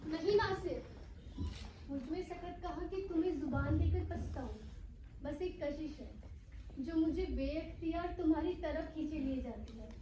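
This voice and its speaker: An actress playing an anxious, lovestruck young daughter in a stage play, with earnest, formal theatrical phrasing. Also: female voice, high voice, measured pace, warm tone. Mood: anxious, tender. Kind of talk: Hindi-Urdu stage play acting. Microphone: far from the actors. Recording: echoey hall, distant mic, faint noise.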